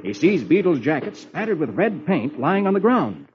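A man's voice: on the high side, sing-song, moderate pace, darkish tone. A man playing a genial reader of comic strips to children, with theatrical 1940s radio storytelling delivery. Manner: theatrical, dramatic. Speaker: host reading the comics. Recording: dull old radio recording with music.

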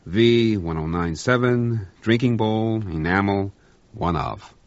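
A man's voice: medium pitch, very animated, fairly quick, breathy edge, no room sound, highs cut off, voice pushed up. A man voicing a harried spaceship officer doing inventory, insistent and by-the-book.

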